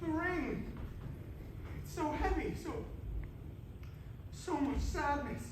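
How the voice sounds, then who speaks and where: Loyal, earnest hobbit companion played by a young male amateur, flustered and lively in pitch, on a stage in a noisy, reverberant hall. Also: slow, heavily acted. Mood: burdened. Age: young adult. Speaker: student stage actor. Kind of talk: amateur pantomime stage acting. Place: theatre stage.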